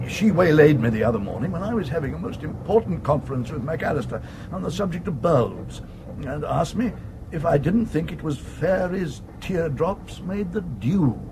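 A doddering, absent-minded old earl in a radio comedy, with an upper-class English accent. He stammers and mutters irritably in a fairly high, creaky voice that swings up and down. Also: animated, moderate pace. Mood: flustered, peevish. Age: older. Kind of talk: 1980s radio comedy acting. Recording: noisy old radio drama recording.

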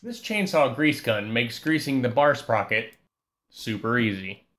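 A man giving a calm, scripted voice-over that walks through a repair step by step. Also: medium pitch, measured pace. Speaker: repair channel host.

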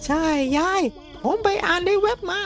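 A woman's voice: moderate pace, a bit bright, soft background music, close to an everyday voice. A woman voicing a cheeky, naive schoolboy grandson in a cartoon comedy, chatty and earnest. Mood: puzzled, earnest. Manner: earnest, rambling explanations with cheeky asides.

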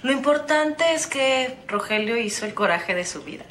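A woman's voice: sits high, animated, moderate pace. Calculating, confident businesswoman. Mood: scheming. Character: confident, calculating businesswoman plotting against a rival. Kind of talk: telenovela acting. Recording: clear ambient noise behind.

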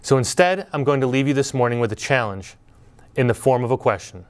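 A middle-aged man delivers a prepared commencement speech in a measured, earnest tone. His voice is smooth and resonant, at an ordinary pitch for a man. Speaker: school speaker at graduation. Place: indoor studio before green backdrop.

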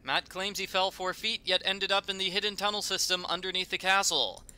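A man's voice: high voice, fairly even intonation, a little fast, bright, forceful tone, clear, resonant tone. A man delivering scripted, sarcastic, mock-indignant narration that nitpicks a video game. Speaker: video critic.

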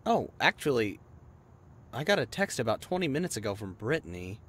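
A man's voice: medium pitch, big pitch swings, brisk, fairly bright tone. A man playing a brash, chatty young adult friend in a conversational roleplay audio drama, talking bluntly and casually.

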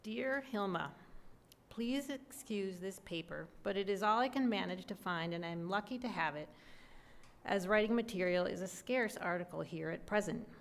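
A middle-aged woman reads a soldier's old letter to be heard from a podium. Her pitch is in the middle range for a woman. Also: normal speed. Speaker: readers theater reader. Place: museum gallery, indoors at a podium. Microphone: gooseneck podium microphone.

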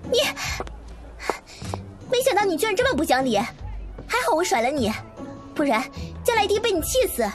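High-pitched petulant schoolgirl played by a woman. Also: very animated, moderate pace, long pauses, music in the background. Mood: indignant. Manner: scolding, coaxing. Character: petulant young female student, indignant and nosy. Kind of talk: Chinese audio drama acting.

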